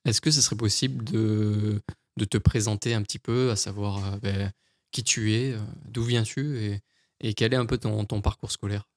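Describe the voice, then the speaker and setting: A man's voice: fairly low pitch, steady tone, moderate pace, no room sound, studio quality. A young man hosting a podcast interview, talking casually with many restarts and fillers. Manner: friendly, stammering, many fillers. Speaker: podcast host.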